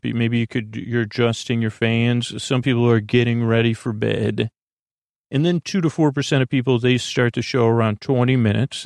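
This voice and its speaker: A man with a creaky, gravelly voice, hosting a bedtime podcast with a meandering, halting ramble full of tangents. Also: mid-range voice, no room sound, clean studio sound. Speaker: podcast host. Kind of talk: rambling bedtime podcast intro, casual monologue.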